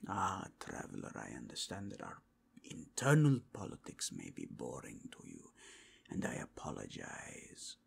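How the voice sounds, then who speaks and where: A man's voice: very deep voice, wildly swooping pitch, unhurried, creaky, slightly rough, exaggerated voice. A man voicing a zealous, courteous inquisitor with formal, grave, archaic phrasing in a fantasy ASMR roleplay. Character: zealous male inquisitor, solemn, courteous, devoutly faithful.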